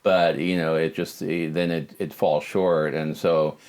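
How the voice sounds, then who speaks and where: A man's voice: rumbling low, flat delivery, measured pace, darkish tone, slight background noise. A man speaking as a doctor in a casual consultation, explaining things informally in plain everyday words. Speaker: doctor on a patient call-in show.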